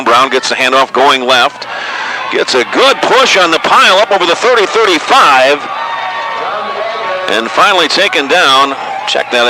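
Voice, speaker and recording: American man calling football over background noise. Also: medium pitch. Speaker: radio play-by-play announcer. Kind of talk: live American football commentary. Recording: noisy outdoor stadium ambience.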